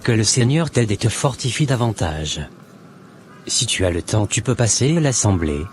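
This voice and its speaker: A man's voice: on the low side, big pitch swings, slight background noise. A man voicing a preachy church brother. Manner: slow, preachy, quotes scripture. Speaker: channel co-host.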